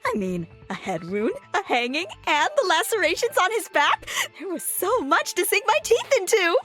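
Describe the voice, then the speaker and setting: Audio drama role: eager young female sleuth woman actor, theatrical ghoulish relish, high swooping delivery over music. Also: big pitch swings, normal speed, fairly bright tone, full character voice. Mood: morbidly delighted.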